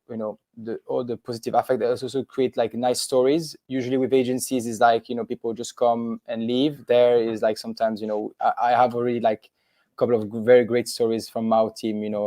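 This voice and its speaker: A man's voice: mid-range voice, darkish tone, no echo. A man from Switzerland, a business co-founder, sharing rambling anecdotes in a webinar discussion.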